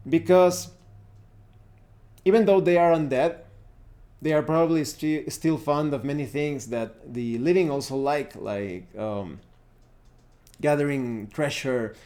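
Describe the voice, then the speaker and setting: A man hosting a video in a casual, unscripted explanatory style, often halting and correcting himself as he goes. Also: medium pitch, dark tone. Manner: halting, self-correcting delivery. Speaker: YouTube host reviewing roleplaying games.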